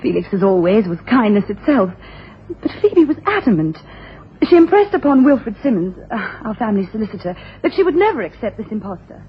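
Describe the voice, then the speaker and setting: An actress playing an anxious, genteel wife in a radio drama, formal, refined and hesitant. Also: female voice, medium pitch, sing-song, a little fast, breathy edge. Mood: earnest, confiding. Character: anxious, genteel upper-class wife seeking help. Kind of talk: 1980s radio drama acting. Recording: dull, noisy old radio broadcast.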